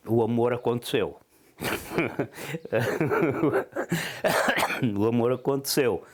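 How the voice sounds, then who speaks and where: Older Portuguese-accented man, hesitant. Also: medium pitch. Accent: European Portuguese. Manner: hesitant, with many fillers. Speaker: interviewee. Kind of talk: personal account in an interview.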